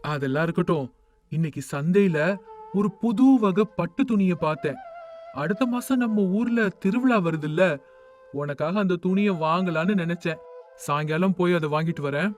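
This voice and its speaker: An easygoing, proud village husband from an animated storybook, talking in a high, bright register, as a man acts him out. He chats casually and frets over what the neighbours think. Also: normal speed, faint music behind, lightly acted. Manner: easygoing, concerned with appearances.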